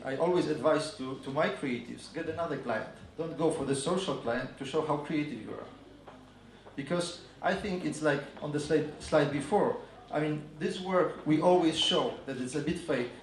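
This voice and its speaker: A man with a Polish accent giving a conference talk on advertising, halting and full of fillers and restarts. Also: fairly high pitch, slight background noise. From Poland. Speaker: advertising agency speaker. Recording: echoey room from a distance.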